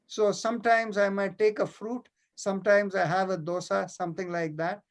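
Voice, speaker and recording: A man's voice: sits high, flat delivery, normal speed. Guy teaching yoga on a video call, lots of uh and like, stops and restarts, just chatting. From India. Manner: hesitant, full of fillers and restarts. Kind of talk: casual Q&A on an online class.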